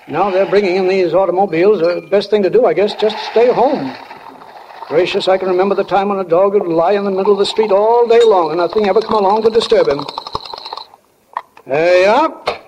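An actor playing a folksy, chatty older drugstore keeper in a 1940s radio drama, grumbling about progress. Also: male voice, high voice, brisk, darkish tone, muffled recording, lightly acted. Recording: noisy, crackling 1940 radio broadcast.

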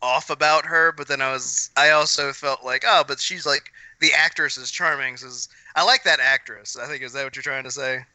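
Male, conversational podcast talker, sharp bright tone, dry close sound. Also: medium pitch, fairly even intonation, a little fast, few pauses, no room sound, poor audio. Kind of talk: casual chat.

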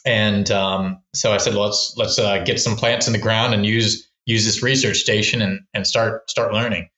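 A man's voice: lowish voice, steady tone, normal speed, bright, forceful tone, reverberant, lo-fi sound. A man from Texas, a wildlife researcher who is a podcast guest, explaining his work in a casual, rambling way with many restarts and fillers. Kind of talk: casual explanatory interview talk.

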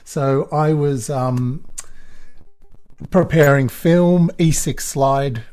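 A middle-aged man with an Australian accent and a croaky voice talks about his work. He is relaxed and chatty, often breaking into a laugh. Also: a little high, drawn-out words, soft background music. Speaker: guest photographer. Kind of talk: casual podcast interview.

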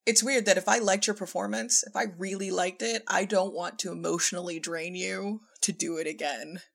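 Low-voiced, gravelly woman in conversation. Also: steady tone, brisk, fairly bright tone. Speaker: podcast guest, audio drama director and filmmaker. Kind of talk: conversational interview, anecdotes about work.

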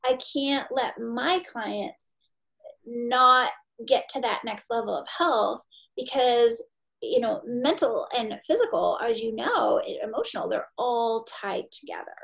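A woman in her forties speaks with a gravelly, slightly high voice. She talks with quick, emphatic energy, telling stories from her work as a therapist and nutritionist in an interview. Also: animated, a bit bright, poor audio. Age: 40s. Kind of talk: podcast interview.

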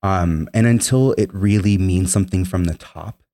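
A man's voice: deep voice, moderate pace, warm tone. A young man telling his story as an interview guest, earnest and meandering, full of "um" and "you know". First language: Spanish. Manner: heavy fillers, earnest, meandering. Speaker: guest, former military member.

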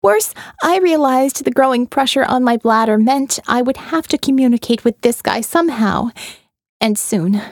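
A woman's voice: high voice, lively intonation, normal speed, no room sound, clean studio sound. A woman reading a first-person audiobook in a wry, conversational way. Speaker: audiobook narrator.

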